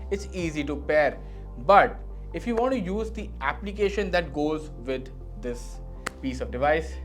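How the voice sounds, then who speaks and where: A man giving an explanatory product review in a measured way, leaning on key words for emphasis. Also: on the high side.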